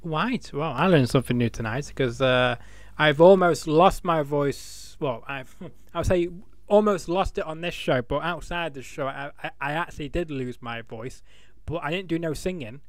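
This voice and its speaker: A man's voice: fairly high pitch, normal speed, no room sound. A man hosting a casual interview, chatty, with stumbling restarts and frequent laughs. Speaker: podcast host.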